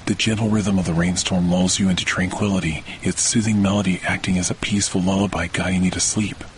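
A man narrating a slow, scripted guided sleep meditation in a calm, measured way. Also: low voice, a little hiss or hum, poor audio. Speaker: meditation podcast host. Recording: rainstorm and thunder under the voice. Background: steady rain and thunder.

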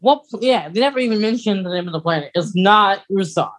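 A woman's voice: mid-range voice, expressive, brisk. A woman co-hosting a podcast in casual, playful chat, chiming in with corrections. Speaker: podcast co-host.

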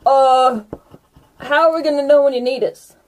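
A woman's voice: high-pitched, fairly even intonation, moderate pace. A woman narrating a tabletop game casually as the game master.

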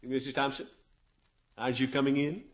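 An actor playing a pompous, fussy but good-natured middle-aged uncle in a 1950s radio comedy, blustery and chuckling. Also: male voice, medium pitch, little pitch movement, a little fast, lightly acted. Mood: fussy, genial. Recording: muffled old radio broadcast.

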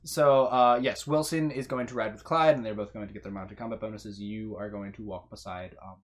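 A game master narrating a tabletop game in a halting, hesitant delivery. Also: mid-range voice, steady tone.

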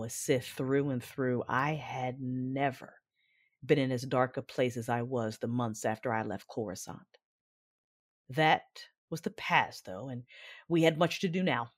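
A woman playing a brooding, commanding Sith who narrates her memories in a measured way for an audio drama. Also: very deep voice. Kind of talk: first-person audio drama narration and dialogue.

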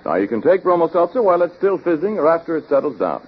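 An American man announcing and pitching a sponsor in 1940s radio style. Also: big pitch swings, quick pace. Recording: dull old radio recording, faint noise.

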